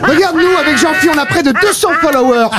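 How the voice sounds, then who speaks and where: A man on a comedy panel trading brash mock insults, at times shouting. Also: extremely high pitch, fast talker. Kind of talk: rowdy comic banter. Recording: studio audience laughter. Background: audience laughter.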